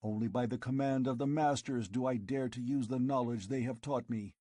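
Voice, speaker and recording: A man's voice: medium pitch, fairly even intonation, moderate pace, mellow, no echo, natural voice. A man reading an audiobook, voicing a turbaned male magician servant who speaks hesitantly and anxiously.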